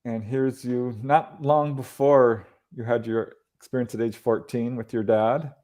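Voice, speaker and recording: A man's voice: medium pitch, fairly even intonation, measured pace, mellow. A man co-hosting a video call, walking through slides with easy laughs and clarifying questions. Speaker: co-host.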